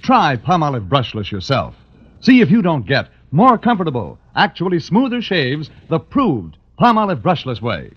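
A man reading a 1940s radio commercial in a rough, husky voice. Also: fairly high pitch, huge pitch swings, moderate pace, slight background noise. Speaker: commercial announcer. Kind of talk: 1940s radio advertising pitch. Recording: dull, lo-fi old radio recording.

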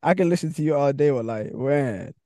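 Chill podcast host guy, mellow dark voice, slangy, laughs a lot, dry sound. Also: medium pitch, expressive, fairly quick, no echo. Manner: laid-back, laughs easily, slangy. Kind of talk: casual interview chat.

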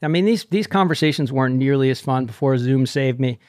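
A man's voice: medium pitch, fairly quick, a little mellow, dry recording, studio quality. A man in his sixties hosting a running podcast over a video call, chatty and upbeat. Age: 60s. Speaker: podcast host. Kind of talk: casual interview chat.

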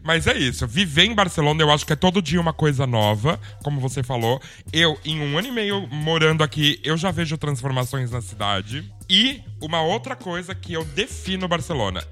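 A Brazilian man with a Brazilian Portuguese accent and a somewhat high voice chats with a lively, emphatic delivery. He tells his stories with animation. Faint music runs in the background. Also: a little fast, few pauses. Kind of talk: casual chat.

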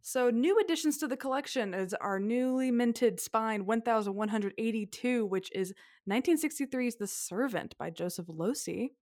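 A woman's voice: fairly high pitch, normal speed, hardly any pauses, a little mellow, no room sound. Chatty woman film podcaster, dry close mic. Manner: chatty, laughs often, trails off and restarts. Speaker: podcast co-host. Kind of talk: casual film podcast chat.

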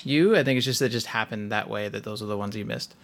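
A man's voice: lowish voice, quick pace. A man hosting a casual podcast, talking loosely with lots of fillers and teasing jokes. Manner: chatty, many likes and fillers, teasing. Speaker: podcast host.

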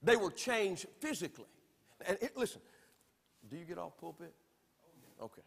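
A man from Alabama with a Southern accent, preaching a sermon from the pulpit with emphatic swings between loud and soft. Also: high voice, animated, moderate pace, gravelly, breathy edge, big-room sound. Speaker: pastor. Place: church sanctuary.

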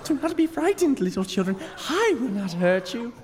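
A woman's voice: on the high side, big pitch swings, normal speed, darkish tone. A woman playing a panicky, unravelling male lighthouse keeper and former stage actor in a radio drama, stammering and theatrical. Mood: grandiose. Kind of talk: radio drama table read. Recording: reverberant, noticeable background noise.

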